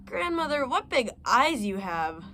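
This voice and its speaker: A woman voicing an innocent, chatty little girl, speaking in a higher, eager and naive voice. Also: sing-song, a little slow, pushed and bright, slight background noise, full character voice. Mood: wondering.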